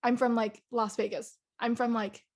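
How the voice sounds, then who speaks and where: A woman's voice: a little high, flat delivery, moderate pace. A young woman co-hosting a podcast, talking in a hesitant, casual way with many fillers. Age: young adult. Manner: hesitant, lots of "like" and "I don't know". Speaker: podcast co-host. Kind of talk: casual chat.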